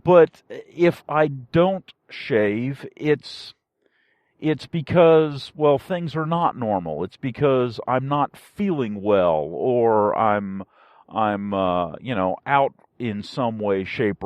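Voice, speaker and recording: Male podcast host, folksy and halting, animated pitch, dry lo-fi recording. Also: dry recording. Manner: halting, rambling, searching for words. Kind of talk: casual solo podcast monologue. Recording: dull, dry lo-fi audio.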